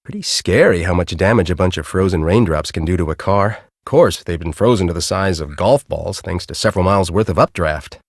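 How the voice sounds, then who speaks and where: An actor playing a grumpy, bossy middle-aged storm-chasing professor in a video game, with an American accent and a brusque, sarcastic, exasperated manner. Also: male voice, sits low, a little fast, few pauses, dry recording, studio quality. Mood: brusque, businesslike. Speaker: video game character, research team leader.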